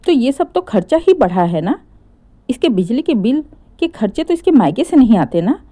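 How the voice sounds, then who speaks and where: Woman acting a grumbling, sharp-tongued older mother-in-law, higher-pitched. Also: very animated, moderate pace. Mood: scornful complaining. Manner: taunting, complaining, rhetorical questions.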